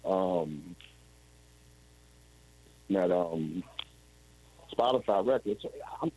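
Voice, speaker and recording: Mellow-voiced man in his forties, rambling. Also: low-pitched, lively intonation, very slow, husky, rough recording. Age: 40s. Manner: rambling, with frequent restarts and "you know what I'm saying". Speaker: interview guest. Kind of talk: candid storytelling over a video call. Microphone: video call.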